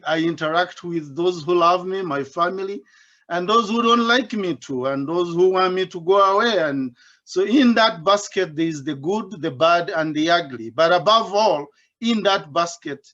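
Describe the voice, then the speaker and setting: A middle-aged man with a slightly raised voice tells his story with warm, self-mocking humor. He speaks from his basement over a video call, and the audio comes through dull and muffled. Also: a little high. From Uganda. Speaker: invited speaker, doctoral student. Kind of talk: personal reflective talk at an online symposium. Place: home basement.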